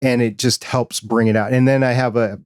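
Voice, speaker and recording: A man hosting a podcast interview in a casual, measured way, with a quiet voice and a solid low end, on a broadcast microphone in a home studio. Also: fairly even intonation, no echo. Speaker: podcast host. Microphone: dynamic broadcast microphone.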